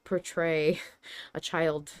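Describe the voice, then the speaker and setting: A woman's voice: low-pitched, flat delivery, drawn-out words, no room sound, clean studio sound. A woman hosting a podcast, talking through her thoughts in a casual, reflective, conversational way. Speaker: podcast host.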